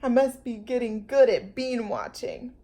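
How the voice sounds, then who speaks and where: A woman voicing an earnest, eager little toy bear from a storybook, easily startled, with wide-eyed, drawn-out exclamations. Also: fairly high pitch, steady tone, warm tone. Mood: pleased.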